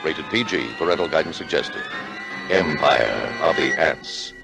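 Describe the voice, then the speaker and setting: A man's voice: extremely low pitch, expressive, unhurried, creaky. A man narrating a film trailer as a dramatic, scripted announcer. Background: loud music.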